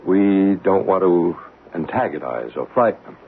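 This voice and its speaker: A calm paranormal investigator in a vintage radio mystery. His low voice moves expressively and stays reassuring. The sound is thin and phone-like with faint hiss. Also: moderate pace. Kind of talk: 1970s radio drama acting. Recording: narrow-band old radio broadcast with hiss.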